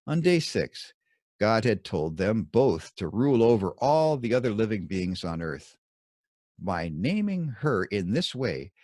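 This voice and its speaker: A man reading his own scripted scripture commentary in a measured, emphatic teaching manner. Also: on the low side, darkish tone, no room sound, studio quality.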